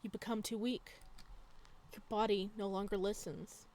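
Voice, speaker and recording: A woman doing a video game let's play, reading game text aloud with expressive drama and adding casual asides. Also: medium pitch, measured pace. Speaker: gaming video creator.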